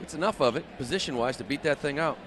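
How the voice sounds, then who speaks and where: A man's voice: fairly high pitch, animated, fast talker. An American man giving live baseball analysis as a color commentator, explaining plays conversationally in short phrases. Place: ballpark broadcast booth. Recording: clear stadium noise behind the voice.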